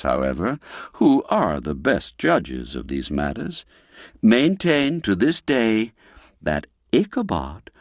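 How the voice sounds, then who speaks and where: Low-voiced guy reading an old story slowly, lots of rise and fall, really dry close sound. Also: no echo. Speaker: storyteller narrating a classic tale. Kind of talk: scripted literary narration, audiobook reading.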